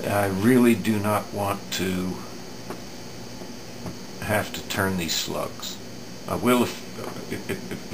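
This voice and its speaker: A middle-aged man giving an unscripted hands-on repair demonstration in a halting, matter-of-fact way, recorded at an indoor workbench. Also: deep voice, creaky. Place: indoor home electronics workbench. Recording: steady room hum, air-conditioning noise.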